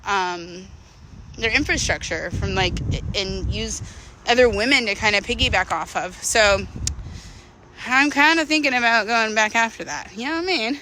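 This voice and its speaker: A woman's voice: on the high side, normal speed, sharp tone, a little hiss or hum, poor audio. A woman in her thirties talking in a candid, rambling, slangy way, as in a casual confessional walking vlog. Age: 30s. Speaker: vlogger.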